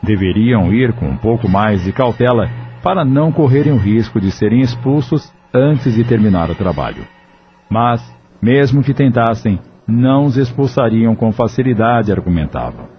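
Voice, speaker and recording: A man narrating an audio drama in a measured, even storytelling delivery. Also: mid-range voice. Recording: dull lo-fi audio with soft music.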